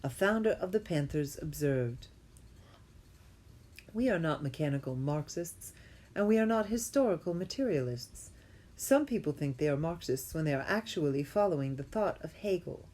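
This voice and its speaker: A woman with a slightly low voice reads academic prose aloud. She sounds like an amateur reader working through formal writing. Her delivery is halting, and she tends to repeat phrases to put them right. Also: expressive, mellow. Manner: halting, often repeats phrases to correct herself.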